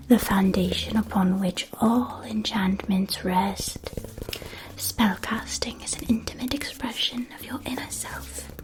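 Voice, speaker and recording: A woman's voice: mid-range voice, poor audio. A woman playing a courteous, doting shopkeeper of a witch school in an ASMR roleplay, speaking in a genteel, old-fashioned way.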